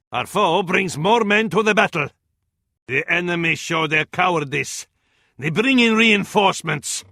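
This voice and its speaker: An actor with an Arabic accent playing a fervent, scornful medieval advisor to a sultan in a video game, in a florid, declamatory style. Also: male voice, sits high, vocal fry, bright, forceful tone, no echo, clean studio sound, full character voice. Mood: defiant. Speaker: battle advisor in a strategy game. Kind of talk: theatrical video game voice acting.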